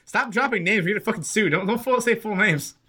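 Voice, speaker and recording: A man with a bright, forceful, slightly high voice talks quickly as a podcast co-host. His style is casual, foul-mouthed banter, and he tells stories in an excitable way. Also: husky. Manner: profane, excitable storyteller.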